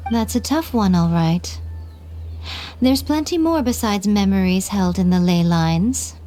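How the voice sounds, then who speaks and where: A woman's voice: mid-range voice, measured pace, dark tone, faint music behind, lightly acted. A woman playing a playful, sly female shrine spirit in a video game, with wry, leisurely phrasing. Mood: teasing, wry. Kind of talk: video game character acting.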